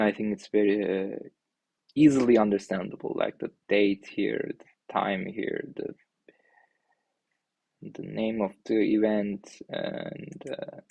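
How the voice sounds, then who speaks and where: A young man from Turkey with a Turkish accent, thinking aloud in halting, filler-heavy speech while he reviews an app on screen. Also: on the low side, creaky, a little mellow, dry recording, rough recording. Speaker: indie maker reviewing an app. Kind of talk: casual screen-share commentary.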